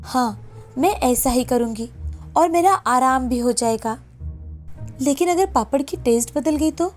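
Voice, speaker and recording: A very high-pitched, sly young wife from a cartoon moral tale, played by a woman. She is lazy and greedy, and speaks in a nagging, sarcastic way. Music plays behind her. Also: measured pace, darkish tone. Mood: scheming. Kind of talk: animated moral story dialogue.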